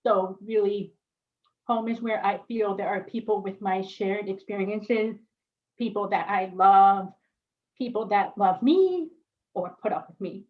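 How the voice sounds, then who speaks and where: A woman speaking on a panel in a calm, reflective manner, moving between her own story and her ideas. Her voice sits at a middle pitch. It comes through a muffled, lo-fi video call. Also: measured pace. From born in South Korea, raised near Chicago. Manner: thoughtful, reflective, light laughs. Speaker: panelist, biologist. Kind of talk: personal storytelling in a panel conversation.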